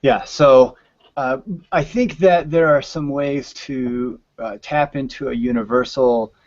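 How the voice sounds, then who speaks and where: A man's voice: mid-range voice, slow, dry recording. Dull-sounding man teaching writing craft. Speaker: podcast guest, writing teacher. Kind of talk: conversational explanation of writing craft.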